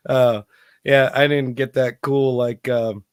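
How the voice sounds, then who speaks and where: A man hosting a live online chat, hoarse from losing his voice and talking in a rambling, halting way. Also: medium pitch, a bit bright. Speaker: host. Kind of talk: casual online hangout chat. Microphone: computer mic on a live video call.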